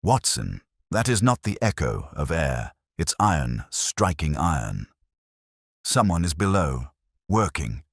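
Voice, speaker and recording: A man voicing a coolly precise, commanding detective in an audio story, quiet, intent and clipped. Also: extremely low pitch, sing-song, unhurried, breathy edge, dry recording, clean studio sound, exaggerated voice. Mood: grave, calculating.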